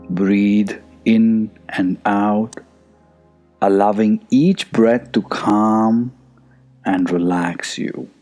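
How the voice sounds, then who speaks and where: A man leading a guided meditation in a soothing, unhurried manner that is hushed at times. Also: on the low side. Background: soft music.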